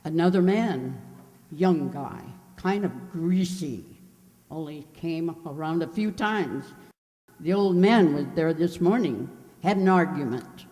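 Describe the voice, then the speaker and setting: A man's voice: a little high, lively intonation, takes it slowly, a little mellow. A man playing a surly, suspicious old landlady in a radio play, with curt, clipped replies. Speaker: amateur radio drama actor. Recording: echoey hall, long reverb.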